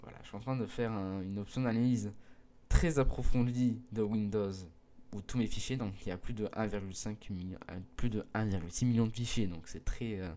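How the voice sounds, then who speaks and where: Hesitant teenage boy with a mellow voice. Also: lowish voice, steady tone, fairly quick. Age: mid-teens. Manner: hesitant, many fillers and restarts; earnest, pleading with viewers. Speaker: gaming video creator. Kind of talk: casual explanation to viewers.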